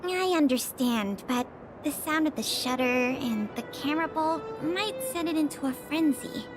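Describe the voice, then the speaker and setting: An actress voicing a prim, cautious young female intelligence officer in an anime-style game, speaking in an earnest, precise, crisply formal way. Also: high-pitched, expressive, normal speed, music in the background. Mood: cautious, serious.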